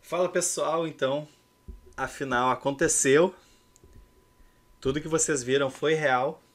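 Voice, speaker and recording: A man's voice: on the high side, fairly even intonation, a little slow. A man in his thirties with a Brazilian accent, chatting casually and ironically as he hosts and commentates a game stream. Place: indoor room at home.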